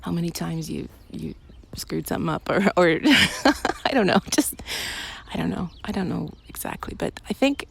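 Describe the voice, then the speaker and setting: A woman's voice: on the low side, lively intonation, moderate pace, vocal fry, breathy edge. A woman chatting casually and intimately, as if to a partner, in a rambling, hesitant, giggly way while out on a bike path. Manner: giggly, hesitant, trailing phrases. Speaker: audio roleplay creator playing a loving girlfriend. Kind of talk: casual rambling chat, intimate one-sided companion talk. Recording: noisy outdoor ambience, passing vehicles. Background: traffic and environmental noise.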